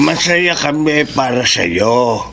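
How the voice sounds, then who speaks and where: An actor playing a gentle, caring old grandfather in a Filipino radio drama, giving slow, fatherly counsel. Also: on the high side, expressive, gravelly, full character voice. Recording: faint background noise.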